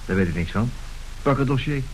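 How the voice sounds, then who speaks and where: A man acting in a radio play as a scheming marriage swindler, brisk and commanding. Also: mid-range voice, expressive, natural voice, rough recording. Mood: businesslike, cynical. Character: smooth scheming marriage swindler, businesslike rogue boss. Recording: hissy old radio recording.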